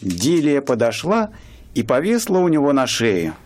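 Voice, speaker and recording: Man narrating a radio play, really animated up and down voice, mid pitch, storyteller vibe. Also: measured pace, a little hiss or hum. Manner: expressive storytelling.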